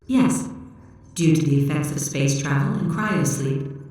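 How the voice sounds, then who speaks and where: A woman voicing a polite, impassive service robot in formal, measured stock phrases, with an echoing processed effect. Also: deep voice, a little mellow. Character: cold, polite service robot, flat and formulaic. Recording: distant, heavily echoing hall reverb.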